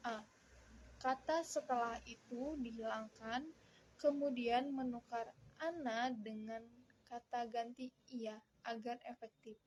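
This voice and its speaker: A young adult woman, a student, giving a scripted presentation read aloud in a formal, recited manner with halting breaks between phrases. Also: on the high side, a little mellow, slight background noise, poor audio.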